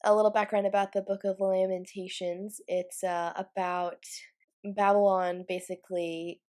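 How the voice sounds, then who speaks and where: A woman's voice: mid-range voice, level tone, moderate pace, a little mellow. A young woman with a stuffy, congested voice from a cold, talking casually through a Bible journaling craft video. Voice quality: stuffy nasal. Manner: chatty, with fillers and light laughs. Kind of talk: casual craft tutorial voice-over.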